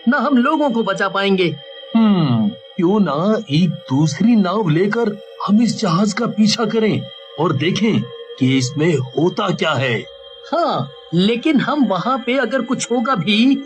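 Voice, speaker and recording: A man voicing a worried, earnest young village man in an animated cartoon story. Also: sits high.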